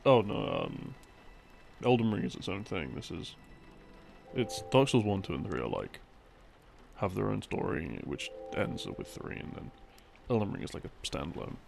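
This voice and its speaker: A man playing an affectionate, laid-back gamer boyfriend in an intimate ASMR roleplay, rambling softly and hesitantly to soothe his partner to sleep. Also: low voice, sing-song, gravelly, slight background noise, exaggerated voice. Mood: teasing, relaxed. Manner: soft-spoken, hesitant, teasing, with small chuckles and long pauses. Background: background game music.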